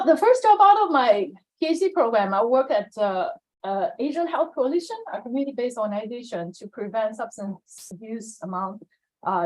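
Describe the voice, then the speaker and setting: A woman's voice: fairly high pitch, a little mellow, rough recording. A woman from China introducing herself as a public health researcher, hesitant and full of fillers, over a video call. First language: Chinese.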